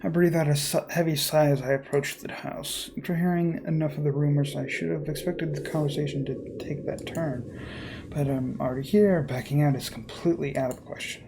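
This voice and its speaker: A man reading a first-person horror story aloud as a let's player, in a measured, theatrical way. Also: on the high side, fairly even intonation, vocal fry, background noise.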